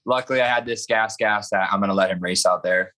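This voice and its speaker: Fast-talking eighteen-year-old guy. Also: fairly low pitch, bright, forceful tone. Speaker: guest, amateur racer. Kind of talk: casual podcast chat.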